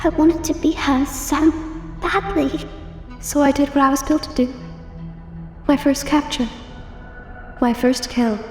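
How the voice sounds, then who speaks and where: A woman's voice: high voice, measured pace, pauses often, soft background music. A woman voicing a sinister, obsessive robot girl who yearns for a father's love, delivered as a dramatic comic dub monologue. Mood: wistful, eerie.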